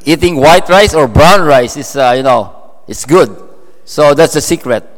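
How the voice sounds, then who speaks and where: A man from the Philippines with a Filipino accent, preaching a sermon in a halting way, full of fillers and restarts. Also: fairly high pitch. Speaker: pastor. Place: church hall. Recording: long hall reverb.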